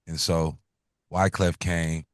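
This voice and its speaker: A man with a deep, low voice teases and jokes with his co-hosts in relaxed radio banter. He is recorded close and dry. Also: takes it slowly, no echo. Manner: joking, teasing banter. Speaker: radio show co-host. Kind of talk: casual radio chat.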